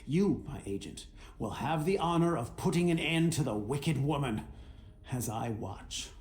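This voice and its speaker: A man's voice: mid-range voice, expressive, unhurried, a little mellow, a little hiss or hum, natural voice. A man playing a ruthless, gloating father who commands mercenaries, theatrical and menacing, as a video game villain. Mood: smug menace. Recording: echoing voice with music underneath.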